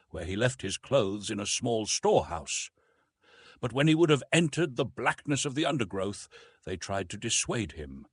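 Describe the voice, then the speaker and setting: A man's voice: lowish voice, fairly bright tone, slightly rough, no echo. A man reading literary narration from a script as an audiobook narrator.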